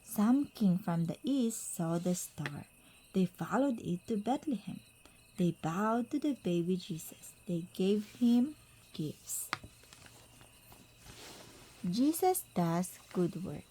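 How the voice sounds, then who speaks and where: A woman reading a children's picture book aloud to a child, in simple, deliberate phrases with pauses. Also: mid-range voice, animated, warm tone, slight background noise, low-quality recording.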